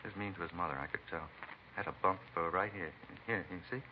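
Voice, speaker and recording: A man's voice: deep voice, fast talker, close to an everyday voice. An actor playing a beaten, talkative American truck driver in a vintage radio drama, rambling and folksy in plain working-man talk. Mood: confiding, opinionated. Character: beaten truck driver, talkative, folksy, eccentric self-styled bump-reader. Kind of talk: 1940s-50s radio acting. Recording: muffled vintage radio recording, faint hiss.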